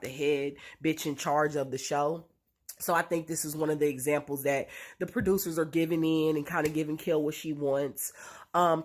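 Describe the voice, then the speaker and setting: Low-voiced congested woman vlogger. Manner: chatty, many ums, blunt slang. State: congested, nasal from a cold. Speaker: reality TV reviewer. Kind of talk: casual recap and opinion vlog.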